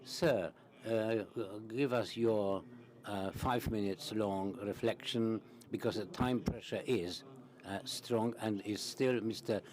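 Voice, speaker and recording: A man interpreting a parliamentary conference into English, halting, with many fillers and restarts. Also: lowish voice, darkish tone.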